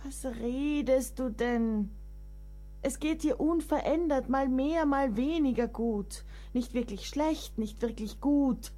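Middle-aged woman writer for radio drama: mellow, devoted, wry and motherly, faint music behind. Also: high-pitched, takes it slowly. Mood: exasperated. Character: devoted, exasperated woman writer nearing forty. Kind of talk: radio play acting.

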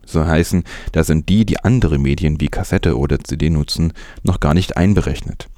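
A man's voice: low voice, mellow, no echo, studio quality. A man presenting scripted news as a narrator.

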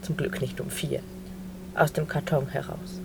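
A woman's voice: rumbling low, steady tone, measured pace. A woman narrating a scripted literary audio play, telling it unhurriedly in short phrases. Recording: noisy recording with clear background noise.

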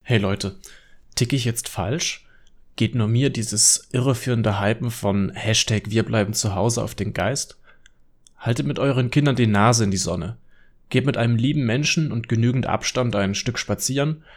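A man's voice: mid-range voice, moderate pace, close to an everyday voice. Actor as an opinionated male online commenter. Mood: irritated, urging. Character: male social media commenter, opinionated and critical. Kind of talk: radio drama collage reading of social media comments.

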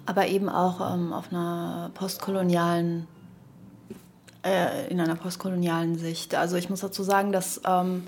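A woman talks in a casual podcast conversation as co-host. Her voice sits at a middle pitch and moves within a fairly narrow range. She thinks aloud haltingly, using academic terms. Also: level tone, slight background noise. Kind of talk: casual, reflective podcast discussion.

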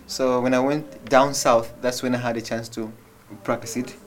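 A man's voice: mid-range voice, level tone, normal speed. A man from Malawi, a theater actor, speaking in casual conversation in a self-deprecating way and laughing often.

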